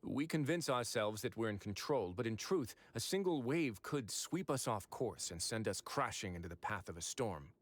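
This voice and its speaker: A calm, low-voiced warden. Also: male voice. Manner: measured, reflective, explains reasoning at length. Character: composed adult male warden, calm and calculating. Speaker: game character. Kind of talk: game voice acting. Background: soft game music.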